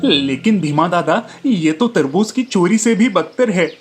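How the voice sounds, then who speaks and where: A man acts a meek village watermelon seller in a cartoon tale. His voice is raised high, servile and pleading, over background music. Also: animated, a little slow. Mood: pleading protest.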